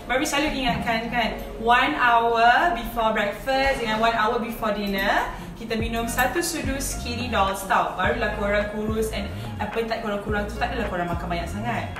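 A woman's voice: a little high, a little fast, hardly any pauses, over music. A young Malaysian woman hosting a casual cooking vlog in her home kitchen, gushing and giggling as she chats. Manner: bubbly, giggly, gushing, code-switching. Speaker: vlogger host. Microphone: camera mic from a few feet away. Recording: roomy kitchen sound from a distance.

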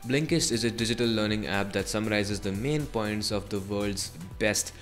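A man's voice: on the low side, moderate pace. A man presenting a tech video as a scripted voice-over, reviewing in a narrator's style. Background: background music.

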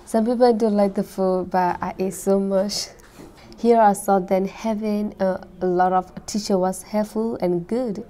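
A teenage girl from Burma reads her personal story as a high school student, in careful, simple phrasing. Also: fairly even intonation, a little slow, warm tone, a little hiss or hum. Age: late teens.

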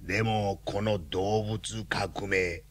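A man playing a grave, philosophical lion in a radio drama, speaking solemnly and deliberately. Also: on the low side, steady tone. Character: grave, philosophical male lion, weary revolutionary comrade.

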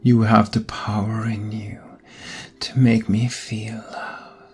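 A man playing a brooding, seductive vampire lover in an ASMR roleplay, often whispering, with intimate dramatic pauses. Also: lowish voice, little pitch movement, husky, heavily acted. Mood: seductive, pleading.

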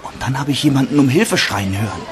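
An actor playing an excitable, spooked but eager young man visiting a theme park in a German radio play, animated and sometimes hesitant. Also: mid-range voice, quick pace, background noise, lightly acted. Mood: agitated recounting.

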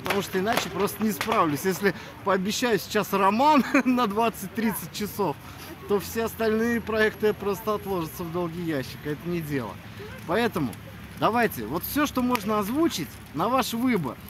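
A man's voice: high-pitched, expressive, normal speed. A man in his thirties, an audiobook narrator, talks casually and earnestly to his followers in an emphatic manner. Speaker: audiobook narrator addressing listeners. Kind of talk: casual video greeting to followers. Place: outdoors in a snowy city square at night. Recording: noisy outdoor city square, traffic ambience.

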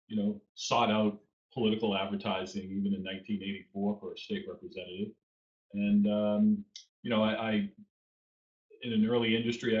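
A man in his fifties with a Boston accent, a guest answering questions over a video call, rambling with fillers. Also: lowish voice. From Boston area. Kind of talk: interview answers. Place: home room. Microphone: video call webcam. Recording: roomy dull webcam audio.

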